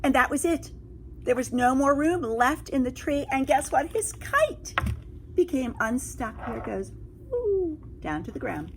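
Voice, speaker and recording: A woman with a fairly high, very animated voice reads a picture book to young children like a teacher. She exclaims and adds asides, putting questions to her listeners. There is noticeable noise behind her. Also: a little slow.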